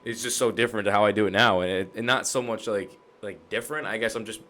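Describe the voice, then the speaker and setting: A young American man with a raspy voice, chatting casually as an interview host. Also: fairly low pitch, fairly even intonation, fast, sharp tone. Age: young adult. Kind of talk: casual chat.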